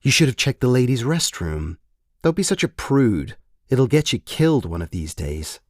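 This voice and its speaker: A man's voice: medium pitch, very animated, dry recording, clean studio sound, voice pushed up. Man voicing a cool, mocking, menacing businesswoman. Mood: mocking, amused. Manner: mocking, deliberate, condescending. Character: cool, amused, menacing corporate woman handler. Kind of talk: scripted short-story character voice.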